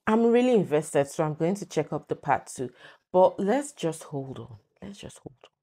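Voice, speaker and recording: Young woman, reaction host, lowish mellow voice, incredulous, small room. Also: very animated, normal speed. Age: young adult. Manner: chatty, incredulous. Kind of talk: casual reaction commentary.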